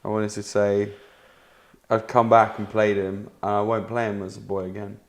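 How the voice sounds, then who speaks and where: A man's voice: on the low side, flat delivery, moderate pace. A man, an actor chatting casually after a table read, with a dry, understated manner. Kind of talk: casual chat.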